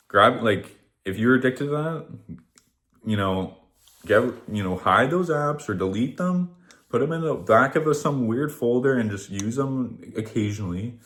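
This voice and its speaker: Man, 30s, vlogger, motivational rant, rambling, swears a lot, slightly low voice. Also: fairly bright tone. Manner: rambling, profane, repeats "whatever".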